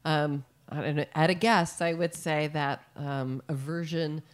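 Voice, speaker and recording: A woman with a notably low voice hosts a relaxed interview. She is chatty and quick to laugh, asking her guest questions in a loose, conversational way. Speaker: podcast host. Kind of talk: casual interview chat.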